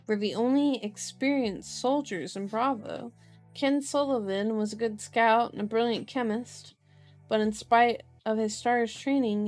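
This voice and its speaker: Playful high-voiced woman reading aloud. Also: darkish tone. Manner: playful, silly asides and baby-talk. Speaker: streamer reading a book aloud. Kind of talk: ASMR read-aloud with casual chat.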